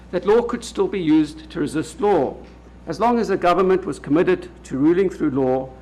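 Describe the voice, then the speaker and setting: A man from South Africa with a South African accent, giving a formal lecture to an audience of lawyers in a measured way, pausing often on "uh". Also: fairly high pitch, a little hiss or hum, rough recording. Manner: measured, many hesitant "uh" fillers. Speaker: legal academic speaker. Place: lecture hall at a public event.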